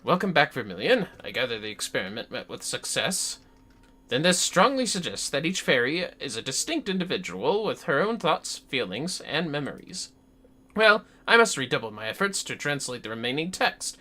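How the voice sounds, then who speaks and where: A man's voice: fairly high pitch, very animated, normal speed, bright, forceful tone, exaggerated voice. A man voicing an earnest, self-important scholar from a fantasy game, formal and theatrical, with music behind. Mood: intrigued. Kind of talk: reading game dialogue aloud in character.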